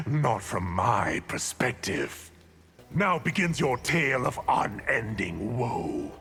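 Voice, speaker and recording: Very raspy sinister male sorcerer. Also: mid-range voice, big pitch swings, extremely slow, pushed and bright, soft background music, heavily acted. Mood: menacing, scornful. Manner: theatrical, menacing, archaic formal diction. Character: sinister demonic male sorcerer, haughty and cruel. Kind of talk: video game villain acting.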